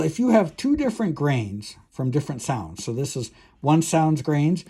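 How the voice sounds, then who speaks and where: A man's voice: fairly high pitch, dark tone, breathy edge. A man lecturing as a professor on a technical subject, explaining step by step in a hesitant, thinking-aloud way with self-corrections.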